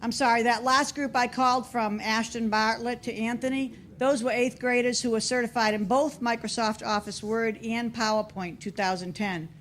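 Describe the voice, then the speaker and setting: A woman with a Boston accent announcing student awards at a formal meeting, deliberately reading out one name at a time. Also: on the high side, steady tone, slight background noise. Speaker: school staff member presenting student awards. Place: school board meeting room.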